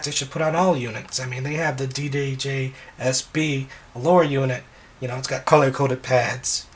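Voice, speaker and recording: A man with a slightly hoarse voice, talking casually as a wedding DJ reviewing equipment, relaxed and rambling with frequent ums and restarts. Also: mid-range voice.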